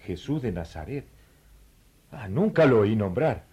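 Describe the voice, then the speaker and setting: A man's voice: on the low side, very animated, unhurried, a little mellow, breathy edge, heavily acted. A man playing a courteous, inquisitive royal treasurer from Ethiopia in a radio play, speaking politely and invitingly. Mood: puzzled.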